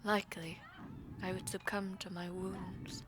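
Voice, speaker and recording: A woman playing a proud, powerful mage villainess in an audio drama, speaking in a formal, imperious and deliberate manner. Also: medium pitch, flat delivery, over music, natural voice. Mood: resigned, sorrowful, hushed. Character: proud powerful female mage, commanding, vengeful, self-sacrificing villainess. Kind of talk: dramatic audio roleplay acting.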